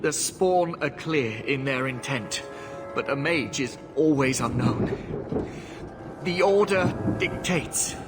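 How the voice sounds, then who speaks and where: Stern, formal knight templar. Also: male voice, mid-range voice, lively intonation, takes it slowly, over loud music. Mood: hostile. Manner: formal, stiff, authoritative. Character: stern, dutiful knight templar, suspicious of mages. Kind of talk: fantasy video game voice acting. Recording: clear battle ambience behind voice.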